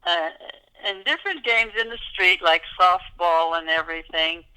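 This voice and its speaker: Bright, clear older woman reminiscing. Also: lowish voice, moderate pace, low-quality recording. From Kenya. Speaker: interviewee. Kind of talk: casual reminiscing.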